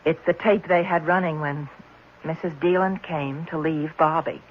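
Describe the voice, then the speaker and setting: An actress playing an earnest, careful orphanage worker in a 1970s radio drama, with a polite, hesitant delivery. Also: female voice, sits low, steady tone, moderate pace, close to an everyday voice. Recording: muffled, hissy vintage radio recording.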